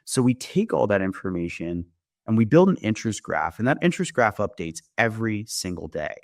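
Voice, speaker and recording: Deep, creaky-voiced man explaining. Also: big pitch swings, fairly quick, dry recording, studio quality. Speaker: company founder giving a software demo. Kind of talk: conversational product demo.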